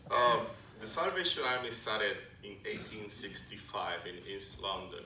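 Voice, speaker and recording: A middle-aged man with a Puerto Rican accent giving a friendly, halting talk to a club, with many fillers and restarts. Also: fairly low pitch, bright, forceful tone, recorded from a distance. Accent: Puerto Rican Spanish. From Puerto Rico. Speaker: Salvation Army officer speaking to a club. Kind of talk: informal presentation to a luncheon audience. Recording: echoey hall recorded from afar, background noise.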